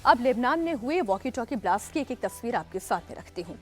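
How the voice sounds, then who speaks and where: High-pitched, urgent woman news anchor. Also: lively intonation, fast talker, faint music behind. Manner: emphatic, urgent delivery with rhetorical questions. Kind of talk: dramatic news narration.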